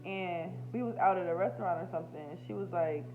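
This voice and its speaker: A woman's voice: lowish voice, fast, narrow, tinny audio, soft background music. An American woman talking off the cuff in a personal vlog, candid, emotional and slangy, with plenty of swearing. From United States. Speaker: YouTube spiritual healer and host. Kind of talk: casual, unscripted personal vlog.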